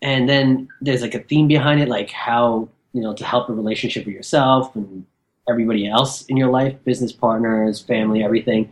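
A chatty male co-host rambles over a remote call. He uses plenty of fillers. His voice sits at a middle pitch. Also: steady tone, fairly quick. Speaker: podcast co-host. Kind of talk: casual podcast chat.

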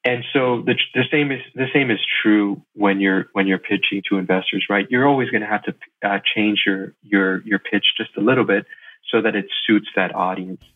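A middle-aged American man from Miami giving casual interview answers, haltingly, repeating words as he thinks. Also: fairly low pitch, fairly even intonation. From Miami, Florida, USA. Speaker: podcast guest, lawyer and investor. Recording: thin phone-like sound.

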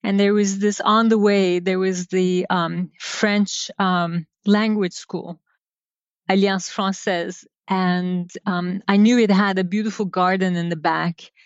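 Slow, reflective woman from Turkey. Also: mid-range voice, fairly even intonation, clear, resonant tone, no room sound. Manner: unhurried, reflective, with many pauses and fillers. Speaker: guest designer. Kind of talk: casual interview storytelling. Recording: dull lo-fi sound.

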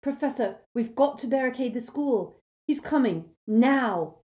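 A woman's voice: a little high, expressive, measured pace, darkish tone, voice pushed up. A woman reading aloud, voicing an urgent, desperate teenage boy wizard who pleads for action.

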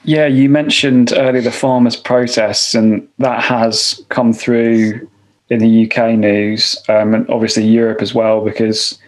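A man hosts a podcast interview and puts his questions calmly. His pitch stays in the middle and barely moves. His speech is measured and even. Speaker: podcast host.

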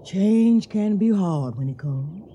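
A woman's voice: medium pitch, expressive, mellow, slight background noise. An actress playing a caring, wise older Southern household servant in a radio drama, with a motherly manner. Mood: gentle, knowing. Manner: unhurried, motherly.

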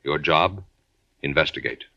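A male announcer with a booming, emphatic delivery, reading an introduction and commercial in 1950s radio style. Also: a little slow, creaky, lo-fi sound, background noise.

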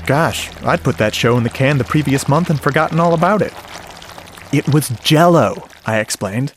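A man playing a shy, earnest, nerdy sound-effects man, telling his story conversationally and haltingly in a radio drama, with a wistful manner. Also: mid-range voice, slight background noise, natural voice. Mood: wistful, smitten. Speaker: narrator and lead character. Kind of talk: first-person radio drama monologue. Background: music and sound effects.

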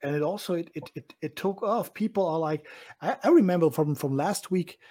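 A German man chats on a podcast in English, his second language. His voice sits a bit high and sounds husky. He often repeats words and laughs as he goes. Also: a little fast, mellow. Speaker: podcast co-host. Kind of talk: casual chat.

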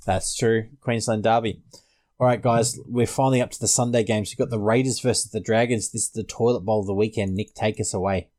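A man's voice: fairly low pitch, steady tone, a little fast, a little mellow. An Australian man hosting a sports podcast, casually discussing fantasy rugby league.

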